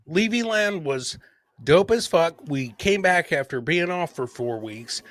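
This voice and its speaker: A man's voice: on the high side, expressive, measured pace, fairly bright tone. A man from Michigan with an American accent, hosting a casual live podcast chat in a blunt, brash way and swearing freely. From Michigan, United States. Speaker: host of a live comedy podcast.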